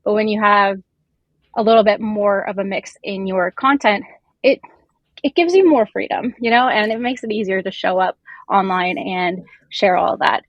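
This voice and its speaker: A woman's voice: medium pitch, normal speed, dry recording, dull audio. A talkative woman, a native Turkish speaker raised in Canada and the US, chatting informally as a podcast guest. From immigrant raised in Canada and the US. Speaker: podcast guest, beer content creator. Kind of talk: casual chatty interview.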